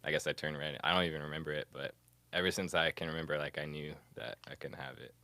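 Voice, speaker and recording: A man's voice: rumbling low, fairly even intonation, quick pace, pushed and bright, no room sound. A man telling stories as a podcast guest in casual, slangy, informal conversation. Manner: informal, slangy, says bro and like. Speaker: podcast guest, music producer. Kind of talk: casual chat, storytelling.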